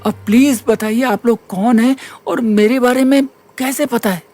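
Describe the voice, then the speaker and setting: A man's voice: very high voice, fairly even intonation, moderate pace, darkish tone, faint music behind. An actor playing a timid, troubled young male college student in an audio drama, earnest and pleading. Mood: anxious pleading. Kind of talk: Hindi audio drama acting.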